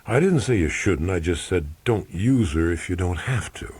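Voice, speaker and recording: A man's voice: deep voice, expressive, normal speed, a little mellow, slightly rough, no echo. A man playing a blunt, wry, middle-aged male investigator in an audiobook story. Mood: terse.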